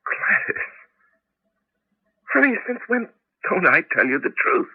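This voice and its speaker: An actor in a 1980s radio drama playing a weary, aggrieved out-of-work scientist who drives a taxi, with a husky voice. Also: male voice, sits high, very animated, unhurried, exaggerated voice. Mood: guarded, defensive. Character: bitter out-of-work space scientist driving a taxi, husband. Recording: tinny band-limited old radio broadcast.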